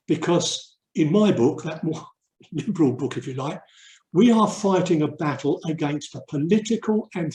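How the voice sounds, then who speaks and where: An older man from England giving a reflective webinar talk, earnest and emphatic, with deliberate pauses. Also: fairly high pitch, warm tone. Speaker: urban mission speaker and theologian. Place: indoor room, online webinar. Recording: roomy, echoey webinar audio.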